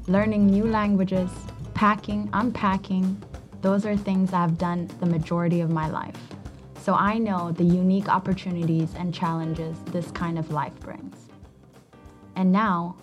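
A woman's voice: fairly low pitch, darkish tone, dull audio. A woman from Ethiopia reading scripted narration as the host of a podcast. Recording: music under the voice. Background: background music.

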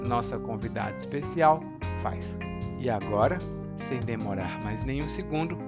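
A Brazilian man with a Brazilian Portuguese accent, hosting a language-learning podcast and speaking clearly and slowly like a teacher. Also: medium pitch. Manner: clear, slow teacher's speech with lively rises.

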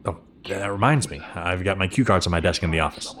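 A man's voice: sits low, quick pace, fairly bright tone. A man playing an easygoing middle-aged professor father in an audio drama, speaking in a casual, understated way. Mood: tired, easygoing. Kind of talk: conversational audio drama acting.

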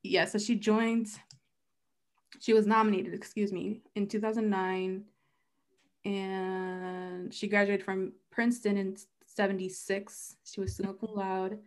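A woman co-hosting a podcast in casual chat, chatty and full of "like" and "um," sharing facts. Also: mid-range voice. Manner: chatty, fills with "like" and "um", reads out facts. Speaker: podcast co-host.

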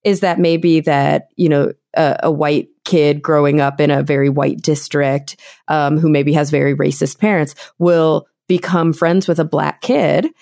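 A woman's voice: low-pitched, steady tone, unhurried, gravelly, a little mellow, no room sound, studio quality. A woman from Texas hosting a podcast, talking through long, thoughtful points in casual conversation. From Texas, USA. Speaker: podcast host. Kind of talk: casual interview chat.

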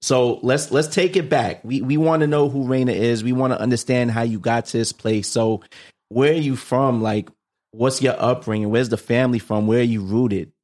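A man's voice: medium pitch, fairly even intonation, moderate pace, a little mellow. A man hosting a casual interview, asking conversational questions in short stacked phrases. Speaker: podcast host, interviewer.

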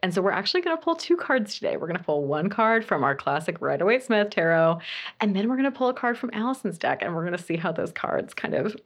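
A woman hosting a podcast interview, bubbly and enthusiastic and quick to laugh. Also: medium pitch, big pitch swings. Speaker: podcast host. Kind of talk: casual interview chat.

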